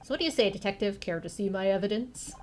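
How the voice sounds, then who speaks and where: A woman's voice: medium pitch, quick pace, darkish tone, a little hiss or hum, close to an everyday voice. A woman voicing a confident, wry actress turned amateur sleuth in a video game.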